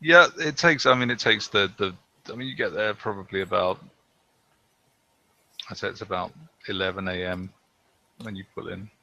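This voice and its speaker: Casting: gravelly male game master, bright tone, dull dry recording. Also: on the low side. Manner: halting, many fillers and restarts. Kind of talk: narrating a tabletop game.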